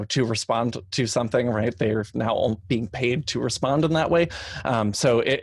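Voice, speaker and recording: A man giving casual advice as a panelist, informal and explanatory, often halting to rephrase himself. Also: medium pitch, a little mellow, no echo. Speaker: expert panelist. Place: online video call.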